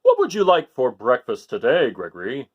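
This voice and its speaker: A man's voice: medium pitch, huge pitch swings, moderate pace, lo-fi sound, voice pushed down. A man voicing a proud, practical father goat in a picture-book read-aloud. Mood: fatherly.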